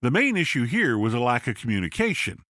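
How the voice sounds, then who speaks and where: A man voicing a seasoned male contractor who calmly explains his system to other builders. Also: medium pitch, fairly quick, no echo, clean studio sound. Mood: explaining.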